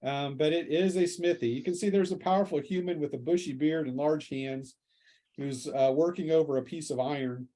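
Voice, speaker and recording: A man narrating a tabletop fantasy game as game master, improvising in a halting, hesitant way. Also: on the high side, fairly even intonation, dark tone.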